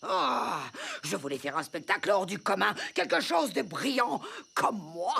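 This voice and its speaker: A woman's voice: medium pitch, big pitch swings, measured pace, gravelly, a bit bright, exaggerated voice. A woman voicing a haughty, bossy crocodile queen in a cartoon. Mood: grand. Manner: imperious and bossy. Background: cartoon music.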